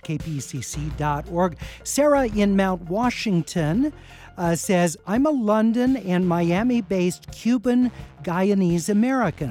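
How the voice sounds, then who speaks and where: A man's voice: sits high, a little mellow. A man hosting a radio call-in show, chatting casually and reading listener comments aloud. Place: radio studio. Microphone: studio microphone.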